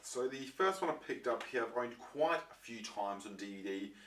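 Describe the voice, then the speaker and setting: A young man with a croaky voice from a throat infection, chatting casually to his viewers with lots of ums and restarts. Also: mid-range voice, lively intonation, normal speed, fairly bright tone. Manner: rambling, many ums and restarts. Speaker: vlogger showing his disc collection. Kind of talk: casual chat to camera. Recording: roomy, mic far off, faint noise.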